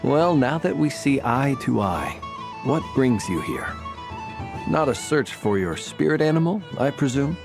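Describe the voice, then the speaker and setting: Courtly, very animated talking lantern. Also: male voice, medium pitch, unhurried, music in the background. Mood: amused, gracious. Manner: formal, courtly, gracious. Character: courteous, whimsical talking lantern man who sells candy. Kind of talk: theatrical video game voice acting.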